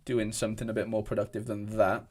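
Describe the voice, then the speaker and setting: Young man, from Wales, fairly low voice, casual livestream chatter, rambling restarts, home desk setup. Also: level tone, fast, darkish tone. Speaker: streamer. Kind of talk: casual livestream chat reading viewer comments. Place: indoor home room at a desk.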